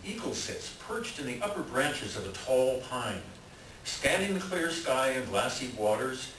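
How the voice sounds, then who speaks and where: Animated male storyteller in a roomy space. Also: medium pitch. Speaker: narrator. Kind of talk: scripted storytelling narration, readers theater. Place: library readers theater performance. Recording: roomy, distant mic, faint background noise.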